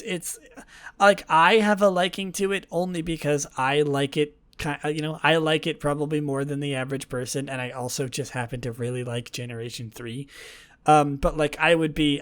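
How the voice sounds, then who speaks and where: Hoarse young man, rambling podcast host. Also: fairly high pitch. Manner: rambling, self-correcting, dryly sarcastic. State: hoarse, voice worn from talking all day. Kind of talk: casual solo podcast talk.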